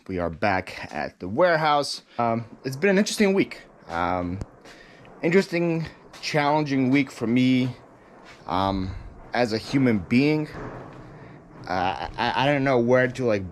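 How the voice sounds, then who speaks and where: A man's voice: mid-range voice, expressive, a little slow, slight background noise. A young man with a Ukrainian accent who was born in Ukraine, talking loosely in a casual vlog with lots of ums and restarts. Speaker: reseller vlogger. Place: warehouse.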